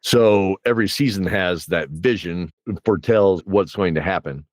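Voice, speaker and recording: Guy with lowish voice, dry sound, casual podcast host, rambly game master storytelling, repeats words as he goes. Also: moderate pace, no echo.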